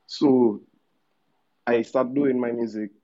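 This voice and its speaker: A man's voice: medium pitch, fairly even intonation, takes it slowly, dark tone, muffled recording. A man in his thirties from Dominica with a Dominican accent, a musician telling his story in a casual, laid-back interview with slangy asides. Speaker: musician guest.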